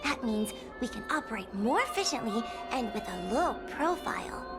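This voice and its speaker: A woman's voice: a little high, big pitch swings, normal speed, over loud music. A woman voicing a timid, anxious young fox girl in a video game, hesitant and nervous. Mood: earnest explaining.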